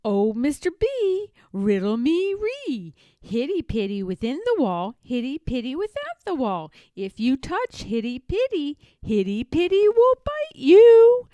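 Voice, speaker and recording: An impertinent young boy squirrel played by a woman, chanting storybook riddles. The voice is high and teasing, leaping around in a lively sing-song. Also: a little slow, few pauses, no room sound, studio quality. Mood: cheeky, teasing. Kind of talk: storybook read-aloud character voice.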